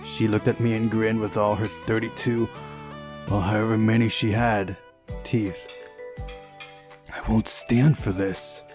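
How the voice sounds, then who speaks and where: A man's voice: on the low side, little pitch movement, a little slow, long pauses. A man hosting a playthrough, reading a visual novel aloud and adding casual asides. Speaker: gaming channel host. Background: game music.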